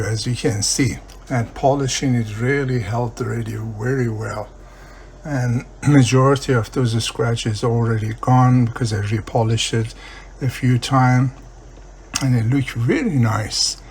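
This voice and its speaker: A man's voice: mid-range voice, a little mellow, slight background noise. A man who is not a native English speaker explains a hands-on repair step by step, unscripted, often checking in with the viewer. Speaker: radio repair hobbyist presenting a tutorial.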